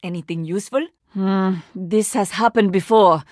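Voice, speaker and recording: A woman's voice: mid-range voice, normal speed, no room sound, clean studio sound, lightly acted. An actress playing a proud, poised Indian woman agent in a video game, with an Indian accent and formal, precise, dryly sarcastic phrasing. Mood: dry, sardonic. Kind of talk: video game character voice lines.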